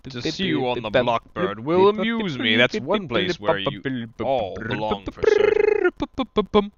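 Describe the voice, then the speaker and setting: A man giving an expressive amateur reading of a verse play. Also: medium pitch, slow. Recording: dull lo-fi recording, noisy crowd.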